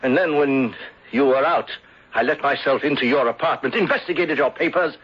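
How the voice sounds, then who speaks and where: Vengeful accuser, radio drama, male actor: husky, bitter, deliberate and theatrical, muffled old recording. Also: mid-range voice, lightly acted. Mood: scornful, triumphant. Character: vengeful bereaved man unmasking his beloved's murderer, accusing and bitter. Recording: dull lo-fi vintage radio recording.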